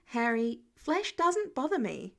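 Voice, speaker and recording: A woman's voice: a little high, very animated, slow, creaky, fairly bright tone, heavily acted. A woman voicing a teasing, self-deprecating nerdy teenage boy in a casual, playful way. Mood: patient.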